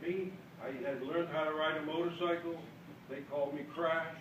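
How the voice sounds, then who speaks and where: An older man with a Maine accent tells a story to a convention crowd in a folksy, self-deprecating way. His voice sits a little high. He is heard from a distance in an echoey hall, with loud noise underneath. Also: level tone, moderate pace. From Boston. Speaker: speaker at a club convention. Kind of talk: after-dinner speech, storytelling. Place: large hall with an audience.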